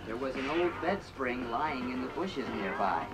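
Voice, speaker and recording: A storybook narrator reading a children's read-along in slow, animated storytelling. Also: mid-range voice. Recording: 1980s read-along record with funky music. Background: funky 80s music track.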